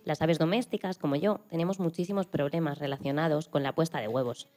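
A woman with a low-pitched voice reads a domestic bird's first-person account. It is a scripted radio-story reading in her everyday voice. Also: fast talker, mellow. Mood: matter-of-fact. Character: deceased domestic bird recounting her own death.

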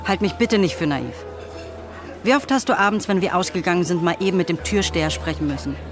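A woman's voice: fairly low pitch, lively intonation, fairly quick, music in the background, close to an everyday voice. An actress playing a determined, reproachful young woman in a radio drama, speaking in a pointed, insistent way.

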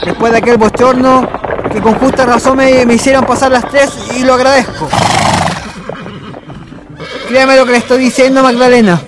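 A man's voice: extremely high pitch, flat delivery, moderate pace, pauses often, heavy background noise. An actor playing a brash young Chilean horseman, a boastful and ardent sweet-talker, declaiming in a radio drama. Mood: earnest pleading.

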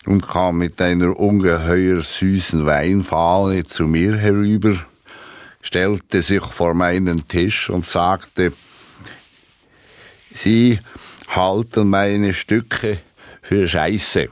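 An actor playing sardonic men of letters, reciting literary jibes for radio in a deliberate, dry manner. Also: male voice, low voice, no room sound.

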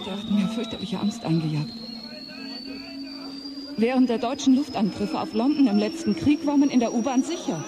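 A woman's voice: on the high side, animated, normal speed, pauses often, background noise, natural voice. A woman acting in a radio play as a frightened passenger, anxiously trying to stay composed. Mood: anxious recounting. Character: frightened Englishwoman trapped on a stalled train.

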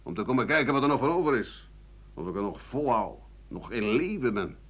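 A disgraced old writer in a radio drama, bitter and sardonic. His grumbling, theatrical delivery swings widely in pitch. The old recording sounds dull and muffled. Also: big pitch swings, a little fast. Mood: bitter, weary. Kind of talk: 1980 Dutch radio play monologue.

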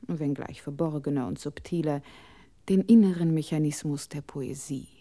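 A woman's voice: low-pitched, normal speed, warm tone. A woman playing a formal, commanding computer control unit in a radio play, speaking with emphatic, precise enunciation. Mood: formal, explanatory. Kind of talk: scripted 1960s radio play narration.

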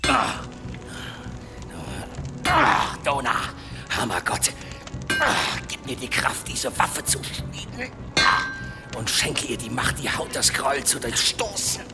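An anguished blacksmith strains out a fervent, vengeful prayer. The voice is gravelly and very slow, with loud music swelling around it in a radio drama. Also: male voice, medium pitch, wildly swooping pitch, full character voice. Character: anguished, vengeful village blacksmith praying to his god, male. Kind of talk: German radio drama acting.

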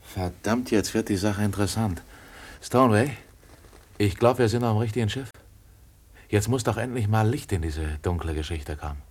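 Deep-voiced brooding male detective. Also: normal speed, husky. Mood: excited. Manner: muttering, musing aloud. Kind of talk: 1950s radio play acting.

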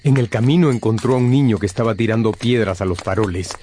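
A man's voice: fairly low pitch, fast, mellow, a little hiss or hum. A man narrating a radio drama in a scripted, dramatic storytelling style. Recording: prominent music under the voice.

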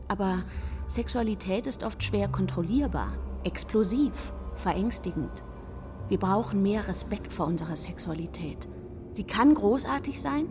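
A woman giving reflective commentary, with long reverb on her voice and music behind it. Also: medium pitch.

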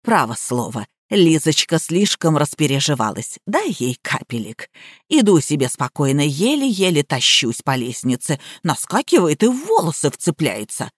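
A woman's voice: low voice, sing-song, a little slow, dry recording, clean studio sound, voice pushed down, full character voice. A woman voicing a haughty old schemer in an audiobook, drawling with theatrical, saccharine sweetness. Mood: sugary, feigned pity.

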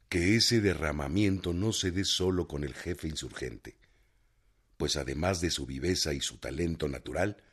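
An actor playing a grave reader of an old newspaper report in a radio drama, solemn and emphatic. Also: male voice, rumbling low, full character voice. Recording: clearly noisy, clicking background.

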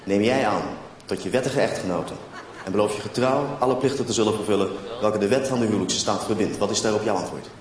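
A man's voice: lowish voice, quick pace. A man officiating a wedding, reading formal ceremonial vows. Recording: echoey, distant television sound, crowd.

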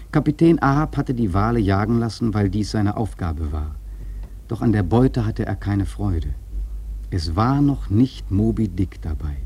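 Radio drama narrator: male whaler, dark, very raspy voice, solemn literary storytelling. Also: fairly low pitch.

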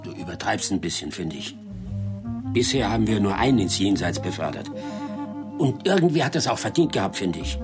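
An actor playing a roguish, excitable older petty thief in a German radio drama, moving between mutters and exclamations. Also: male voice, on the low side, fairly quick. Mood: sly, grudging. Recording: music behind the voice.

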